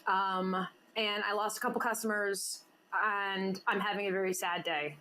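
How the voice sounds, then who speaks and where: A woman's voice: mid-range voice, fairly even intonation, normal speed, sharp tone, a little hiss or hum, rough recording. A woman hosting an interview show, bubbly and teasing, laughing often as she banters with her guest. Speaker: podcast host. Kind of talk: casual interview chat.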